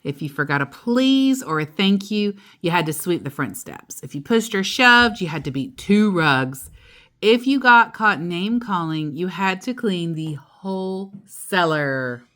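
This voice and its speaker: A woman storyteller reading a children's picture book aloud expressively, drawing out key words and adding playful asides. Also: lowish voice. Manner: animated, drawn-out emphasis with playful asides.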